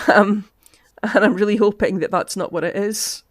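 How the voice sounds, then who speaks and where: A woman chatting casually through gameplay commentary, her voice cutting out from a lingering cough as she thinks aloud with soft asides. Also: medium pitch, fairly even intonation, measured pace, dry recording, clean studio sound. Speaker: game streamer.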